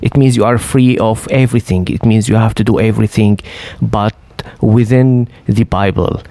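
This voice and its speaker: A middle-aged man with a Syrian Arabic accent, telling his story as an interview guest, halting with many fillers and restarts. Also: on the low side, steady tone, warm tone, dry recording. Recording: dry studio.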